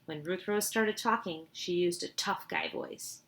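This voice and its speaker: A woman's voice: on the low side, measured pace, fairly bright tone. A woman reading a children's storybook aloud expressively, with informal asides to her listeners.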